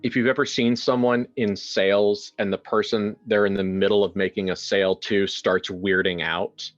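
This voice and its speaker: Low-voiced man narrating over music, slightly muffled. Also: flat delivery. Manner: halting, searching for words with repeated phrases. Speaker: tabletop role-player narrating his character. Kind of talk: improvised game narration. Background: background music.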